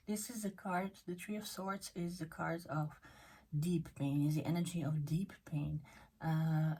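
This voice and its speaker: A woman speaking English leads a tarot reading at an unhurried, gentle pace. Her voice is mellow and a little husky, pitched slightly low, and she often drops to a breathy near-whisper and stops to hunt for words. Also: low-quality recording. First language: Dutch. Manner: halting, with many fillers and restarts. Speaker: tarot reader. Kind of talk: monthly tarot reading video.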